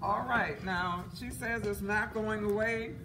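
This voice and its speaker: A woman with a raspy voice presenting a workshop and quizzing the audience. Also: mid-range voice, unhurried. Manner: animated, questioning the audience. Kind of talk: interactive workshop talk. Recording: echoey room, distant mic, noisy.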